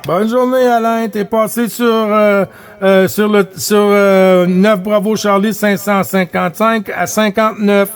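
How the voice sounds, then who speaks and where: A man's voice: high voice, level tone, a little slow, faint music behind. A man with a Quebec French accent chatting casually and rambling as he runs a CB radio contact. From Quebec, Canada. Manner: rambling, repetitive, colloquial. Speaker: CB radio operator running a contact net.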